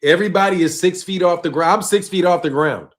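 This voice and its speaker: A man, hosting a boxing channel live stream and talking loosely and emphatically, dropping low at the ends of phrases. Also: fast. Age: 50s. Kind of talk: casual live-stream rant reading chat.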